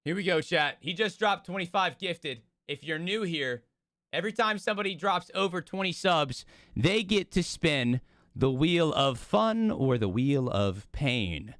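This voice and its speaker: A man's voice: on the high side, lively intonation, measured pace, fairly bright tone, dry recording. A man livestreaming casually to his viewers as host, talking in hyped, slangy bro speak with swearing, his voice strained from overuse. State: voice strained, losing it. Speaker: live streamer hosting a watch party.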